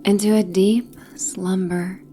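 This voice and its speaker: A woman with a soft, breathy, near-whispered voice gently narrating a soothing guided sleep meditation and bedtime story. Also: mid-range voice, level tone, a little hiss or hum. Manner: gentle, soothing, hypnotic, with long pauses.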